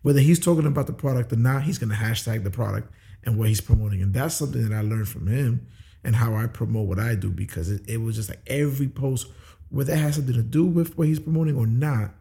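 Gravelly, husky New man. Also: medium pitch, quick pace, warm tone. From New York. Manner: easygoing, with many "uh" fillers. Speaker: podcast guest. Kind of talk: casual interview chat.

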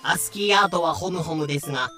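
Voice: medium pitch, quick pace, sharp tone, faint music behind. A narrator giving polite, scripted explanatory asides in an audio drama.

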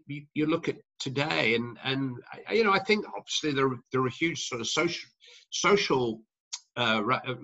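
A middle-aged commentator speaks with a bright, forceful tone, circling through fillers and restarts as he answers. The recording sounds dull and lo-fi, with the highs rolled off. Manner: rambling, many restarts and fillers. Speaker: sports commentator guest. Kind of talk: conversational interview answers.

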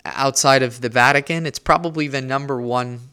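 A man's voice: medium pitch, moderate pace, bright, forceful tone, clean tone. A young man from Spain hosting a solo faith podcast, speaking earnestly and reflectively, often restarting and repeating words as he thinks aloud. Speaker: podcast host.

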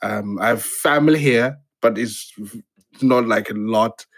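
Zimbabwean man, playwright guest, halting and reflective, mid pitch. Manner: hesitant, halting, many restarts and fillers. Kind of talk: reflective interview conversation.

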